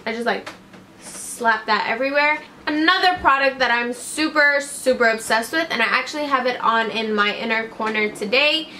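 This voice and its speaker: A woman's voice: fairly high pitch, normal speed, sharp tone, a little hiss or hum. A woman in her twenties reviewing makeup to camera in a casual, gushing way, saying "like" often, in a small room. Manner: gushing, upbeat, heavy use of "like" and "obsessed". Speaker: beauty vlogger. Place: small indoor room with string lights.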